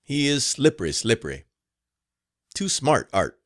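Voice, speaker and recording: A man's voice: medium pitch, very animated, a little slow, no echo, voice pushed up. A stern old plantation master who grumbles his warnings with suspicion, as a male narrator puts him on. His voice swings up and down, heard close and dry. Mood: suspicious. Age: older.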